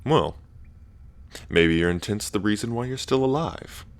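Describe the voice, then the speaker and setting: A man's voice: on the low side, lively intonation, measured pace. A man playing an ancient dragon king in human form, smug and teasing, with a drawling, taunting delivery in a roleplay ASMR. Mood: curious, wry.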